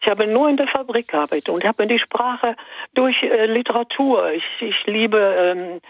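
A woman in her eighties from Italy, whose first language is Italian, calls in to a radio show and speaks hesitantly with many pauses and fillers. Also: fairly high pitch, dry recording. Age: 80s. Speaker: caller. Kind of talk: radio call-in. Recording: dry phone line.

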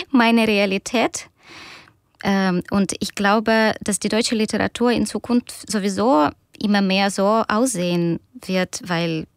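A woman in her thirties, a doctor and author whose first language is Russian, answering questions in a radio interview with many pauses and fillers. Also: mid-range voice, dry recording, clean studio sound. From Ukraine.

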